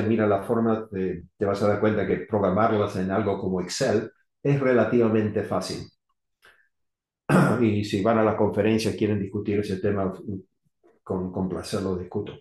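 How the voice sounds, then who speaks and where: A middle-aged man from Argentina, now living in the US, giving an unscripted technical talk on electrical safety in halting, rusty Spanish full of restarts and fillers. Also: on the low side, a little mellow. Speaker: electrical safety engineer presenting. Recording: echoey room.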